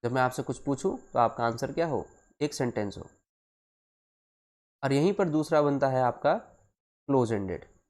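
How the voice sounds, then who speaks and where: A male teacher speaks at a middling pitch. He explains things step by step in a deliberate, instructive way, repeating phrases for emphasis. He moves between Hindi and English and speaks directly to his students as in a live online class. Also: animated, a little mellow.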